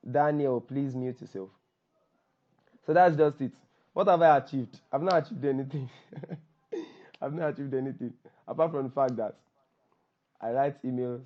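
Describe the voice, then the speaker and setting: A Nigerian man with a voice hoarse from shouting, hosting an informal group call in a joking, self-deprecating, repetitive way. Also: medium pitch. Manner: joking, self-deprecating, repeats himself for emphasis. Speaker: workshop host and copywriter. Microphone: online call microphone. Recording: dull, lo-fi group call audio.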